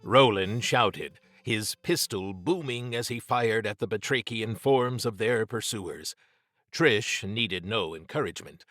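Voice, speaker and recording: A man narrating horror fiction as an audiobook, with measured, dramatic storytelling. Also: on the low side, fairly bright tone, no room sound, clean studio sound.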